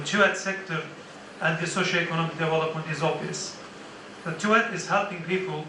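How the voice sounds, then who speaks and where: A man giving formal remarks as guest speaker at a conference, halting often with fillers and restarts. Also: fairly high pitch, noisy background. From Turkey. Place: large conference hall. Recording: echoey hall, distant mic.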